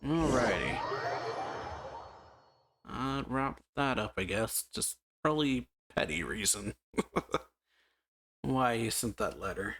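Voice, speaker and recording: A man's voice: medium pitch, animated, slow, long pauses, fairly bright tone. A man hosting a reaction channel, giving casual, laid-back commentary with murmured remarks and chuckles.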